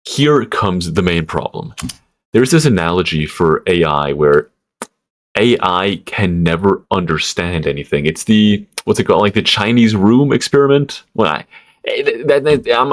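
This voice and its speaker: A young man from Switzerland ranting casually to camera, rambling with stammered restarts, lots of fillers and swearing. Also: sits low. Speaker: vlogger.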